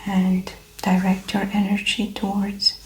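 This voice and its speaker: Woman, ASMR exam roleplay, breathy whisper, gentle and unhurried, little pitch movement, echoey room. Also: monotone. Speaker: ASMR performer playing a medical examiner. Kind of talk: ASMR medical exam roleplay, soft spoken.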